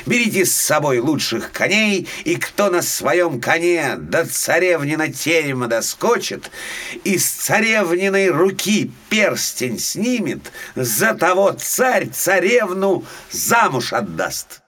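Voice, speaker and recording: A man's voice: on the high side, sing-song, slow pace, a bit bright, voice pushed up, heavily acted. A man voicing a tsar's herald in a fairy tale, making a declamatory public proclamation. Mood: proclaiming. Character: royal herald proclaiming to all the people.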